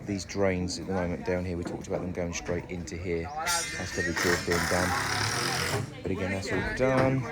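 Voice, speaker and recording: Guy with a deep voice chatting through his building site, ums a lot, noisy outdoors. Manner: chatty, explains step by step with many ums. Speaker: homeowner vlogging his house build. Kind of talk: casual walk-through explanation. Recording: loud outdoor site noise.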